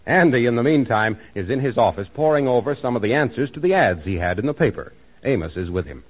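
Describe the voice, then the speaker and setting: A man's voice: medium pitch, expressive, fast talker, close to an everyday voice. A man narrating an old American radio comedy in a scripted announcer style. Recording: tinny old radio recording. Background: faint music.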